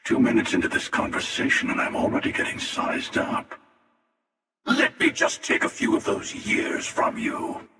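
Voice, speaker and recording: Gravelly, jaded space hunter, drenched in echo. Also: male voice, very high voice, wildly swooping pitch, pushed and bright, exaggerated voice. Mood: wry, amused. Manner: sardonic, taunting, deliberate. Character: jaded, menacing, world-weary older male space hunter. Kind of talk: video game character voice lines. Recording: cavernous echo and long reverb, distant.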